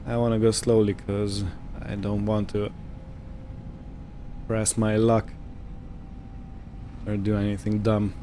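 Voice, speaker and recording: A man giving casual roleplay gameplay commentary, unhurried and thinking aloud as he goes. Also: lowish voice, monotone, dark tone, a little hiss or hum. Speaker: gaming video host.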